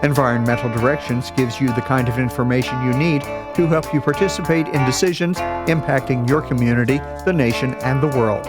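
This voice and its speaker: Formal male radio announcer over guitar. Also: mid-range voice. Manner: formal, measured delivery. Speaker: show announcer. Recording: guitar music under voice. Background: guitar music.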